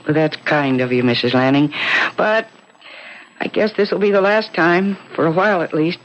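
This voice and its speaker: An actress playing a polite, nostalgic woman in a 1970s radio drama, gentle, hesitant and earnest, on an old recording. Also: low voice, normal speed, lo-fi sound, slight background noise, lightly acted. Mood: wistful. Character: polite, wistful woman nostalgic for her childhood home.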